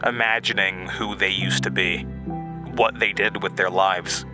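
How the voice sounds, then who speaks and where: A young man acting as a haunted narrator in an audio drama, recalling his childhood in a halting, reflective monologue. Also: deep voice, steady tone. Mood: wistful, reflective. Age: young adult. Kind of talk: dramatic first-person monologue, reminiscing. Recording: music bed under voice. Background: music.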